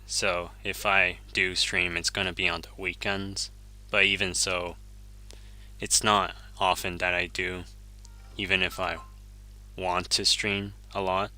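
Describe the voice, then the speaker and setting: Male game streamer, Japanese first language; deep, very bright and forceful tone, relaxed self-correcting chatter over faint game music. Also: measured pace. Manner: easygoing, chuckles often, self-correcting. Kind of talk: casual gameplay commentary, reading chat.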